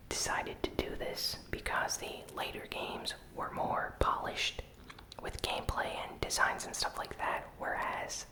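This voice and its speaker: A host giving ASMR gaming news commentary in a breathy, unvoiced whisper, soft-spoken and unhurried. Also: poor audio.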